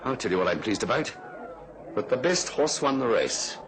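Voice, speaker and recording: Brief: male actor as blunt, upright horse trainer, radio play, lively intonation, slight noise behind. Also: mid-range voice, moderate pace, close to an everyday voice. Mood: firm indignation. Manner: firm, indignant, forthright. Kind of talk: radio drama acting.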